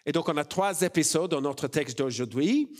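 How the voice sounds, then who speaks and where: A young man with an Australian accent, speaking French as a church preacher in a relaxed, personal way with many fillers and restarts. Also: fairly high pitch, moderate pace. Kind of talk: sermon.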